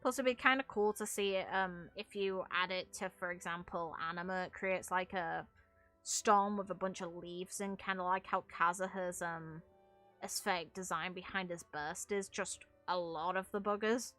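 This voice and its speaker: Female gaming host, bright forward voice, casual and chatty, music underneath. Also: on the low side, steady tone, moderate pace. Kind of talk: casual gameplay commentary. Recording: music playing behind the voice. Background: background music.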